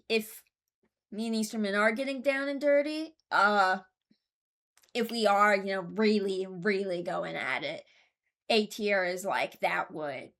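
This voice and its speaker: A woman's voice: mid-range voice, a bit bright. A young woman giving casual, unscripted commentary as a video host, rambling and hesitant as she thinks aloud. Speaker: video host ranking game voice lines.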